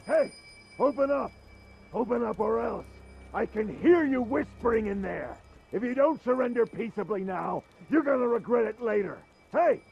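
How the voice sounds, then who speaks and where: Bandit thug: very high, gravelly, bullying shouts for a game cutscene. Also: male voice, expressive, normal speed, highs cut off, slight background noise, heavily acted. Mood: threatening, angry. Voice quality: raspy. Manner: shouted, taunting. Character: menacing, bullying male bandit shouting threats. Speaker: video game character.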